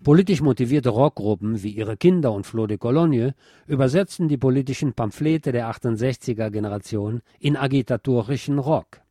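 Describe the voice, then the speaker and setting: A man presenting a scripted cultural history piece in a measured, articulate, academic manner. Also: mid-range voice, dark tone, no echo, studio quality, faint music behind. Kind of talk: scripted essayistic narration on music history.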